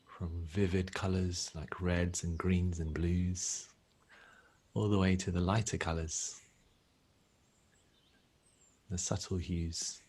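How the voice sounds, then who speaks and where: Deep, husky man guiding a meditation. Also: little pitch movement. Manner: gentle, unhurried, with long pauses. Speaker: meditation teacher. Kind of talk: guided meditation.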